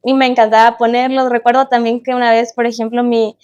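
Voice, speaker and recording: A woman's voice: on the high side, flat delivery, fairly quick, darkish tone, clear, resonant tone, muffled recording. A woman in her late twenties with a Chilean accent, chatting casually as a podcast guest about her own life. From Chile, born in Guatemala. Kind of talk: casual interview chat, personal reminiscence.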